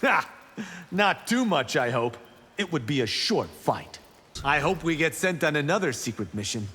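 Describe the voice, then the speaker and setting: An actor playing a brash, eager young warrior monk in a fighting game, boastful and boyish. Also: male voice, on the high side, sing-song, moderate pace, bright, forceful tone, breathy edge, in a large hall, exaggerated voice. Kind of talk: video game pre-fight banter.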